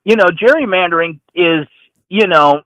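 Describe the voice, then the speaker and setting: Male phone caller from the American Midwest, sharp, higher-pitched, casual and opinionated political talk. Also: expressive, moderate pace, no echo, poor audio. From St. Louis, United States. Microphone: phone call.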